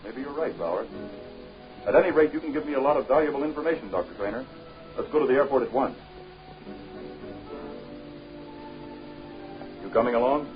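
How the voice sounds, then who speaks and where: An actor playing a young, confident American adventure hero in a 1930s film serial, speaking in a direct, matter-of-fact way. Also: male voice, medium pitch, fast talker, close to an everyday voice. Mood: brisk, interested. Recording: dull 1930s film soundtrack, faint music.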